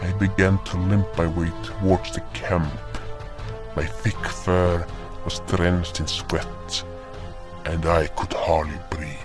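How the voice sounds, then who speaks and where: A man's voice: low-pitched, little pitch movement, slow. A man playing a remorseful furred frostborn creature, narrating his own fantasy tale in a solemn, dramatic manner. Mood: grave remorse. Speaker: narrator and voice actor. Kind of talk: first-person dramatic fantasy narration in character. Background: music.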